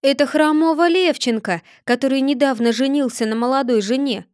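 A woman's voice: high voice, a little fast, darkish tone, no echo, clean studio sound, pitched above the performer's own voice, natural voice. A woman voicing a sly, stubborn middle-aged Cossack man who mutters indignantly to himself. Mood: muttering.

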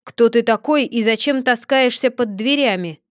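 A woman voicing a stern, hot-tempered village blacksmith who shouts gruffly. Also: fairly high pitch, moderate pace, no room sound, studio quality, lightly acted.

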